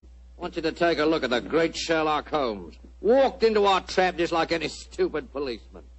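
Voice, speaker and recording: An actor playing a gloating, menacing ringleader of assassins in an old radio drama, sneering and taunting with mock politeness. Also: male voice, a little high, expressive, normal speed, a little hiss or hum, rough recording. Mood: gloating, threatening. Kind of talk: 1940s radio drama acting. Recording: old-time radio broadcast recording.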